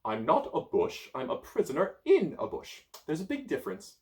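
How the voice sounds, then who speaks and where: A man's voice: fairly high pitch, huge pitch swings, normal speed, a little mellow, voice pushed up. A man voicing a grouchy, boastful old male wizard stuck in a bush, reading a storybook aloud. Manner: pompous, cranky, sneezy.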